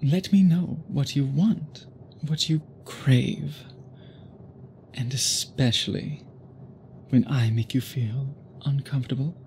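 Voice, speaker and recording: A man performs an obsessed vampire gentleman in an intimate audio roleplay. His mid-pitched voice moves slowly, with formal, flowery phrasing and deliberate pauses, possessive yet held in check. Ambient noise sits behind him. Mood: intense, reassuring. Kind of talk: intimate scripted ASMR roleplay, addressed to listener.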